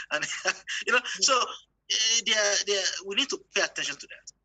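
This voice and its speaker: A man's voice: sits high, lively intonation, moderate pace, sharp tone, no echo, rough recording. A Nigerian-born man with a Yoruba accent, whose first language is Yoruba, speaks bluntly and with emphasis as a professor in an online panel talk, asking rhetorical questions.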